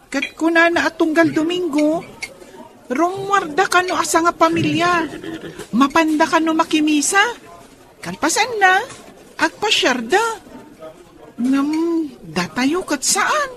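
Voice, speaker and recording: Tiny little girl, extremely high pitch, unhurried, innocent, Filipino accent, radio drama. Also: animated, fairly bright tone, full character voice. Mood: innocent, chatty.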